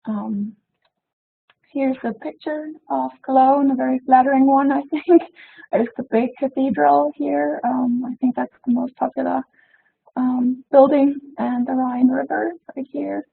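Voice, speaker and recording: Husky, polite woman from Germany. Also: high-pitched, fairly even intonation, unhurried. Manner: polite, with frequent fillers. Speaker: library science student and panelist. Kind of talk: webinar self-introduction. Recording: phone-like webinar audio.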